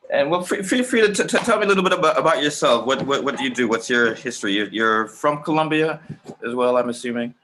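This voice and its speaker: A man from Jamaica hosting a casual chat on a video call, halting and often repeating his phrases. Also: mid-range voice, lively intonation, fairly bright tone, slight background noise. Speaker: host.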